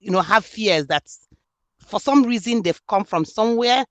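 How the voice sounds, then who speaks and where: A woman's voice: medium pitch, expressive, normal speed, dry recording. A woman from Nigeria with a Nigerian accent, speaking earnestly and reflectively in an interview about her book. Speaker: author and student. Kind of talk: interview conversation.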